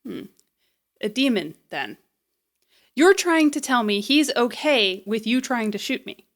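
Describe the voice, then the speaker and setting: Young female runaway, played by a woman, audio drama. Troubled, blunt, unhurried delivery, higher pitch. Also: animated, no echo, studio quality. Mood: incredulous, blunt. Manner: halting, blunt, conversational.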